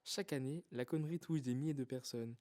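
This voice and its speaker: An actor playing a mock-official government hotline announcer in a parody public service message. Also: male voice, mid-range voice, quick pace, heavy background noise, close to an everyday voice.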